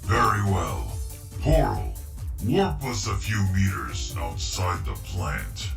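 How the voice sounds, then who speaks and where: Man voicing brooding, gravelly jackal villain, low and roomy. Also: wildly swooping pitch, takes it slowly, distant mic, over music, full character voice. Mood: cold, commanding. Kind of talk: fan comic dub voice acting.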